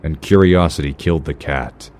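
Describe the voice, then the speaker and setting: A man playing a brooding, commanding masked vigilante detective in an audio drama, terse and grave with a low growl. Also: normal speed, darkish tone, slight background noise. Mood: grim, clipped. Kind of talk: fan audio drama acting with noir narration. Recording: music under the voice.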